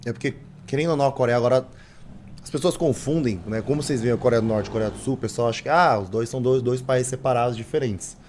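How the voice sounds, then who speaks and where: A hoarse man, interpreting in casual chat, halting with many restarts. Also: medium pitch, a little mellow, a little hiss or hum.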